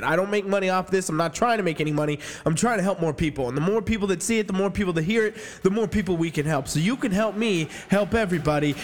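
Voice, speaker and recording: A man's voice: sits high, long reverb tail. A man hosting a fitness podcast as a coach, giving a profane, brash motivational rant, a little sniffly from allergies. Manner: brash, swearing, hype-man delivery.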